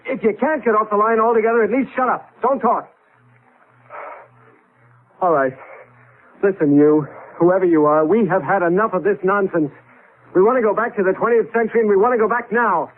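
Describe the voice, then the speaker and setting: An actor playing a desperate, insistent husband trapped in the past, in 1970s radio drama style on a thin old broadcast recording. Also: male voice, sits high, fairly quick, long pauses, phone-quality sound, slight background noise, natural voice. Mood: angry, frantic. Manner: urgent, insistent, emphatic.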